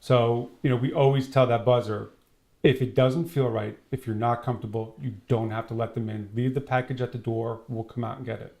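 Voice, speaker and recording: A man's voice: medium pitch, fairly even intonation, brisk, creaky, a little mellow. A man speaking as a security trainer in an interview, explaining conversationally and illustrating points with quoted dialogue.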